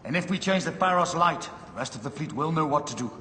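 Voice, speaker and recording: An actor playing a commanding, theatrical Roman general in a video game cutscene. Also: male voice, fairly high pitch, expressive, fast talker, pushed and bright. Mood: resolute. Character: imperious Roman general commanding troops in war. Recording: echoey reverberant hall, faint background noise.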